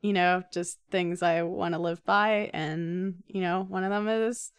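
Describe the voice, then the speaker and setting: Smooth, clear-voiced woman chatting casually. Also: lowish voice, fairly even intonation, a little slow, dry recording, clean studio sound. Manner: informal, conversational. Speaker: podcast co-host. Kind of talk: casual podcast chat.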